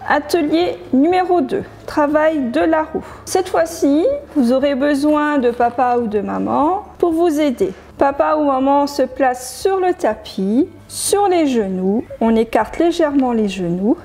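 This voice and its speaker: A woman in her thirties, a gym coach, giving clear step-by-step exercise instructions in a living room. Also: sits high, animated, a little slow, few pauses, mellow, soft background music.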